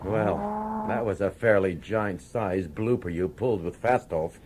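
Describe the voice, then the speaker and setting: A man's voice: fairly low pitch, huge pitch swings, measured pace, darkish tone, slight background noise. An actor playing a brusque, breezy police commissioner in a radio drama, casual and dismissive with his subordinate. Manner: casual, dismissive bossy talk.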